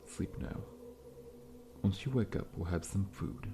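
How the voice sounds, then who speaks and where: Man voicing a deep, slow-talking scorpion-man dentist. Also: noisy background. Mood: soothing, friendly. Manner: gentle, reassuring, softly chuckling, formal-polite. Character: massive friendly scorpion-man dentist, calm, faintly menacing. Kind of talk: ASMR roleplay. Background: background music.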